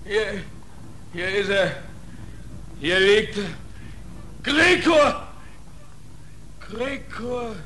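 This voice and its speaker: Rough barking ship stoker, really high strained voice, old noisy radio play. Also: male voice, bright, forceful tone, heavily acted. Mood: alarmed. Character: furious cursing ship's stoker in a boiler room. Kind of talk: 1940s German radio acting.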